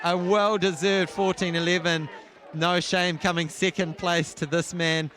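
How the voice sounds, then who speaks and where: Husky-voiced enthusiastic co-commentator, man. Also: high-pitched, little pitch movement, a little slow, crowd noise. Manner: enthusiastic, chatty analysis. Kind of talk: live athletics commentary.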